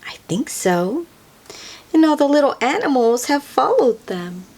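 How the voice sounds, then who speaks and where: Female children's read-aloud host: unhurried, lively pitch, a touch breathy, sing-song and engaging the listener. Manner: sing-song, asks the listener questions with soft exclamations. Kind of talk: expressive picture-book read-aloud for young children.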